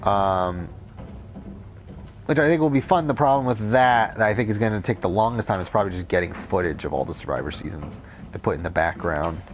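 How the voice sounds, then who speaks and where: A young man with a cold, rambling through casual gameplay commentary, talking off the top of his head with a deep, unclear voice and frequent swearing. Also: animated, brisk. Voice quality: deep, not clear. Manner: rambling, unscripted, says whatever comes to mind, swears often. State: has a cold. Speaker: Let's Play commentator.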